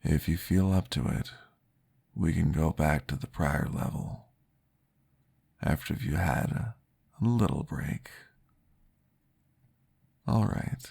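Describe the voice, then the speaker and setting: A man voicing a calm, patient male vampire therapist, soft-spoken and reassuring, often dropping into a whisper in an ASMR roleplay. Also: a bass voice, exaggerated voice. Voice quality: gravelly, husky. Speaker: therapist guiding a listener.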